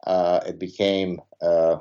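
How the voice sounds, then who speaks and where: A man's voice: deep voice, level tone, takes it slowly, creaky, warm tone, lo-fi sound. A man from Lebanon whose first language is Arabic, a guest in a podcast conversation who talks earnestly with many fillers and restarts.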